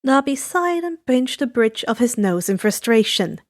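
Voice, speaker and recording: A woman's voice: on the high side, very animated, moderate pace. A woman narrating fan fiction from a script as a storyteller.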